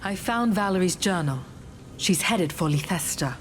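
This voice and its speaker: A woman playing a solemn, earnest heroine in a fantasy game, speaking in a measured, serious way. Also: lowish voice, expressive, natural voice. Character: solemn gauntlet-wielding heroine, earnest and steady. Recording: music behind.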